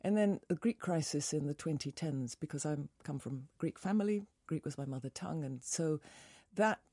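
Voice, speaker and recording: A woman in her sixties speaks as a radio studio guest in a mellow, darker voice. She chats reflectively and uses fillers. The sound is dry and close. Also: low voice, moderate pace, no echo. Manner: reflective, chatty, with fillers and laughs. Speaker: guest writer and broadcaster. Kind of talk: radio interview. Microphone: studio microphone.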